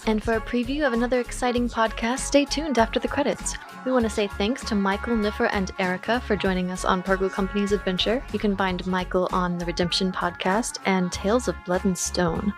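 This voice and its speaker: A woman hosting a podcast, reading a friendly scripted outro with thanks and plugs. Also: mid-range voice. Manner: friendly, read-aloud thanks. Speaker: podcast host. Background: music.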